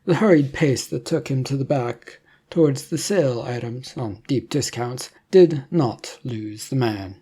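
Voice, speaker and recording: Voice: medium pitch, measured pace, dark tone, slightly rough. A narrator reading an adventure story from a script in a storytelling style.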